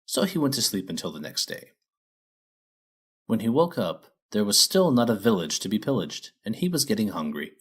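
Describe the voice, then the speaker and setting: Low-voiced, very animated male storyteller. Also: moderate pace, husky. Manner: expressive storytelling. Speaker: storybook narrator. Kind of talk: scripted children's story narration.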